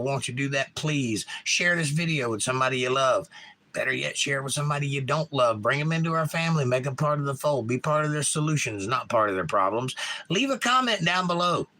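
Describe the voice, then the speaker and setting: A man's voice: mid-range voice, fast talker, bright, forceful tone. A man with a Georgia accent hosting a casual live stream, rambling and reading chat comments aloud from a home setup.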